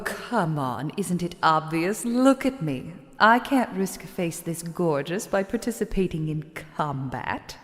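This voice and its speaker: A woman playing a vain, haughty female shadowling merchant in a video game, theatrical, self-absorbed and condescending. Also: on the low side. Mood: haughty, indignant. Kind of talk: dramatic video game voice acting. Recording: long reverb on the voice.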